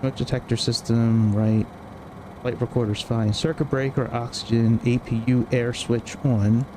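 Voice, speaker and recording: Slow-paced man over loud engine noise. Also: mid-range voice, flat delivery. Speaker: flight sim streamer. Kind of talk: casual thinking-aloud checklist walkthrough. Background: cockpit ambience and engine noise from flight simulator.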